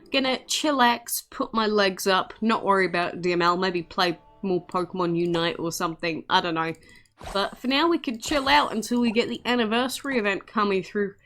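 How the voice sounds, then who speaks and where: A woman's voice: mid-range voice, fairly bright tone. A woman giving casual, chatty gameplay commentary in an informal, self-deprecating way as a video host.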